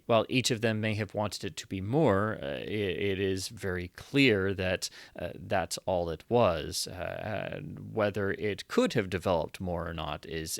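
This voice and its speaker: A man giving an unscripted, analytical book review, speaking thoughtfully and haltingly as he works through his points. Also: on the low side, a bit bright, dry recording, clean studio sound. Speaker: book reviewer, channel host.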